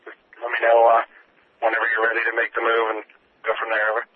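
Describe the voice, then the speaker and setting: Tinny radio dispatcher man, flat delivery. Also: mid-range voice, quick pace. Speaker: railroad dispatcher. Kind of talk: working radio dispatch chatter. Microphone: radio handset or dispatch console over radio. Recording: tinny, noisy two-way radio transmission.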